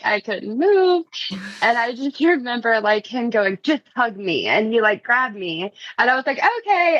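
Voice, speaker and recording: Giggly lady podcast guest, voice a bit high, chatty anecdotes, dry close sound. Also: sharp tone, no room sound, rough recording. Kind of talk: casual anecdote telling.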